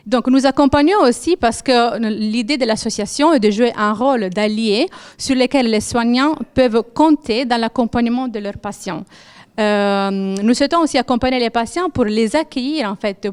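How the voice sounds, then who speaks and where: A middle-aged woman gives an earnest, halting presentation to an audience, with many fillers and restarts. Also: a little high, smooth. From Italy. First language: Italian. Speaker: patient association co-founder presenting.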